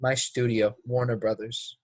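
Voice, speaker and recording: A man's voice: medium pitch, flat delivery, unhurried, no echo, natural voice. A man playing a brash, resentful young actor in a play reading, speaking in a blunt, casual, clipped way. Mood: accusing, wounded. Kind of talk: play readthrough acting.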